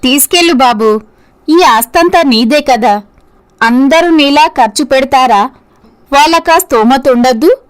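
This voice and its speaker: A woman's voice: high voice, measured pace, long pauses. A woman playing a wealthy, indulgent mother in a scripted story, haughty and dismissive in manner. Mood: indulgent, proud.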